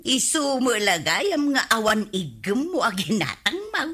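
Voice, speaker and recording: A forceful, rough-edged woman's voice plays a stubborn village customer out for a bargain. It leaps around in pitch with insistent, grumbling, tight-fisted temper, suited to a comedy radio drama. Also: very animated, normal speed, exaggerated voice.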